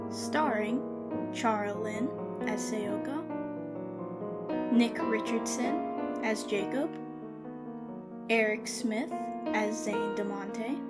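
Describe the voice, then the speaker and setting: A woman reading scripted credits as an announcer, with piano music behind her. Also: a little high. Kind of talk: scripted narration.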